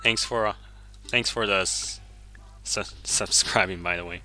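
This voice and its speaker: A man's voice: fairly low pitch, fairly even intonation, measured pace, sharp tone, faint music behind. A man whose first language is Japanese, chatting easily while streaming a game, chuckling often and correcting himself as he answers viewers. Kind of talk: casual gameplay commentary, reading chat.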